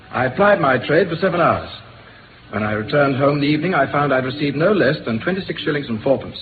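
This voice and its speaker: Actor as fast-talking, earnest English gentleman, old radio. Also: mid-range voice, lightly acted. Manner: earnest, hesitant, confessional storytelling. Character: anguished respectable gentleman confessing a secret double life. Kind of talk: 1950s British radio drama acting. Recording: tinny noisy old radio recording.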